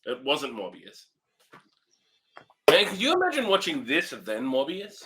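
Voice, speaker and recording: A man's voice: a little high, wildly swooping pitch, unhurried, sharp tone, low-quality recording. A man co-hosting a casual movie review chat with a dry, sarcastic manner. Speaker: co-host of a movie review series.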